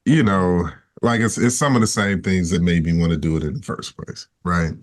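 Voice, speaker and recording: A man's voice: low voice, normal speed. A man talking in a laid-back, reassuring way about his marriage on a podcast. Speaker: podcast guest, husband. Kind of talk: casual chat.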